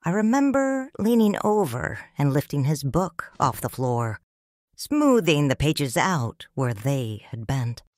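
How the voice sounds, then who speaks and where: A middle-aged woman reads a first-person story as a grieving widow and mother. Her voice is low but expressive, with wide melodic rises and falls, and her pace is slow and tender. The sound is dry and close. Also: no echo. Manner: slow, soft, tender and reflective. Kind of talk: scripted audiobook narration.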